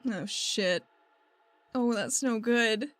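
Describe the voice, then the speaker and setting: A woman's voice: a little high, takes it slowly, a bit bright, dry recording. A woman chatting informally and wryly as a player in a tabletop roleplaying game. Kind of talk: casual game chat with bits of roleplay. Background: background music.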